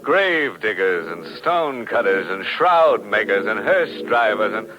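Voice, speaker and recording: An actor playing a wry, theatrical, macabre host of a 1940s radio mystery show. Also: male voice, on the low side, very animated, slow, background noise. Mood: wry, mock-indignant. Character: sardonic, macabre, jocular male horror host. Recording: muffled old radio recording.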